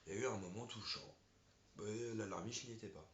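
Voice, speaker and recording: Low-voiced man chatting in an echoey room. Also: a little fast. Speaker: film review vlog co-host. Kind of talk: casual, unscripted review chat. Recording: echoey room, dull muffled sound, faint noise.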